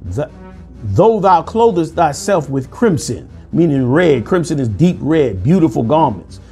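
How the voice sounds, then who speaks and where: A forceful, sermonizing man in his forties delivers commentary from a room on a lapel mic. His voice sits a little high for a man and keeps pressing its points. Soft music plays faintly underneath. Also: sing-song, a little slow, slightly rough. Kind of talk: preaching commentary over news clips. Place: indoor room.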